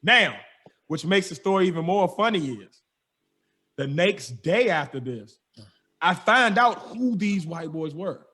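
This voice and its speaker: A young man hosting a podcast, telling a wild story in casual slang, animated and laughing as he goes. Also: high-pitched, measured pace.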